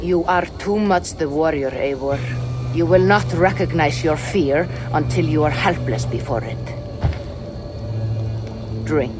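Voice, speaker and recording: Music sits behind a male actor as a Norse seer in a game scene. The voice is a touch high for a man. It is calm and commanding, with deliberate, cryptic phrasing. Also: close to an everyday voice. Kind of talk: video game cutscene acting. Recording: music over the voice.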